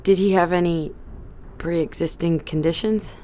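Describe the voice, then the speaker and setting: Woman acting a low-pitched, hesitant newcomer. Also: steady tone, dark tone, a little hiss or hum, close to an everyday voice. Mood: curious, hesitant. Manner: tentative, halting, inquisitive. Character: hesitant, curious woman newly woken from cryosleep. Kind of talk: audio drama acting.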